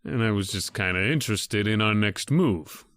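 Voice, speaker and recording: A man's voice: fairly low pitch, lively intonation, moderate pace, fairly bright tone, no room sound, clean studio sound. A man playing a curt, sardonic, trigger-happy gunman in an old-time radio horror drama. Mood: sly, menacing. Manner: terse, sardonic.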